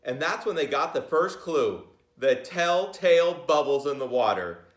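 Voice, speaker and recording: A man's voice: a little high, animated, measured pace. A man reading a children's picture book aloud, playfully talking to his listeners with chatty asides. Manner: playful, addresses listeners directly. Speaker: read-aloud storyteller. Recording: roomy, echoey sound.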